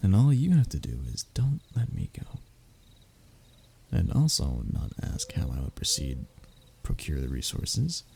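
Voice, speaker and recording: A man's voice: low voice, gravelly, warm tone, slight background noise, exaggerated voice. A man playing a stubborn, scheming, lovestruck young human royal held captive, in a close audio roleplay with flowery wording and flustered stammers. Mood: scheming, persuasive. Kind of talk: audio roleplay acting, addressing the listener closely.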